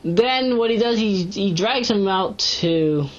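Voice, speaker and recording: Rambling woman with lively swings in pitch. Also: dull audio. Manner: rambling, halting, self-correcting. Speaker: online video reviewer and narrator. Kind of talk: casual unscripted commentary recapping a web series.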